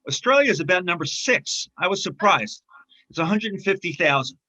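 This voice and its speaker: A man speaking as a guest in a casual interview, talking haltingly with many fillers and restarts. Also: high voice, lively intonation, bright, forceful tone, poor audio. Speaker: film distributor, interview guest.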